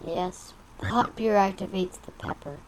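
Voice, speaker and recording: An actress playing a cool, worldly femme fatale in a noir detective audio drama, speaking in a dry, measured, theatrical way. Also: female voice, medium pitch, a little hiss or hum, natural voice, low-quality recording. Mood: grave, knowing.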